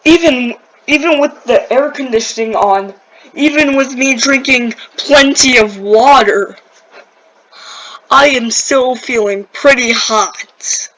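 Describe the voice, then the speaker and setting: A woman playing a distressed weather broadcaster in an amateur disaster drama, stammering and halting as she struggles through deadly heat. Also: high-pitched, a bit bright, heavily acted, rough recording. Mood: uneasy, queasy.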